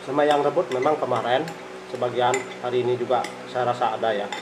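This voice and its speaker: A middle-aged man from Indonesia, talking casually between bites while he eats a meal in a small rented room, with slow phrases and many fillers. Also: medium pitch, steady tone. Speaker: food vlogger. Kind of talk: casual eating vlog. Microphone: phone camera on the table. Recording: phone recording with room noise and cutlery clatter. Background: clinking cutlery and dishes.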